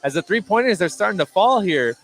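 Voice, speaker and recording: Higher-voiced male basketball play-by-play announcer, noisy. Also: lively intonation, a little fast, fairly bright tone. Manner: short clipped calls, rising on big plays. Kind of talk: live basketball radio commentary. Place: at a basketball game, gym noise behind. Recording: clearly noisy live game broadcast.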